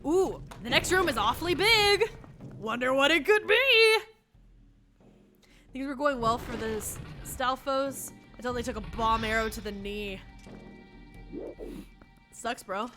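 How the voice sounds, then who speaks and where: A high-voiced young woman with a twang, stuffy from allergies, keeps up sarcastic running commentary at her desk mic while she plays. She talks back to the characters and her chat. Game music plays clearly behind her. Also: lively intonation, unhurried, pauses often. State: sniffly from allergies. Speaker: streamer. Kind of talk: casual let's-play commentary.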